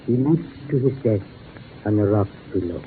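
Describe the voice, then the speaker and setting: A man's voice: lowish voice, animated, normal speed, lightly acted. A tinny old radio recording with faint hiss holds the voice of a solemn mystic played by a man. He speaks in grave, formal, incantatory phrases, a conjurer of the dead in a 1940s horror play. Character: solemn mystic magician who summons the dead.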